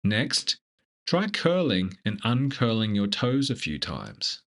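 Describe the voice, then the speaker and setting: A man leading a scripted guided meditation in a gentle, measured, instructive manner. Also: fairly low pitch, sing-song.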